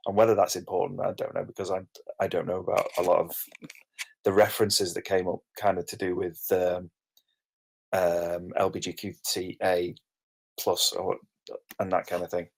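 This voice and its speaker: A man's voice: sits low, creaky, darkish tone. A man giving informal feedback in a hesitant, rambling way, hedging his opinions. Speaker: participant giving script feedback.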